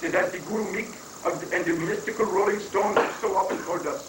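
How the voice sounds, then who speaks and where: A flamboyant foreign guru, played by a man in a comic stage reading, cheerfully dodging and rambling in mock-pious phrases. The voice sits high. It comes from off at a distance in an echoey room, on a noisy 1980s live recording. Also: fast, close to an everyday voice. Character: flamboyant wandering foreign guru, rock-obsessed, evasive and cheerful.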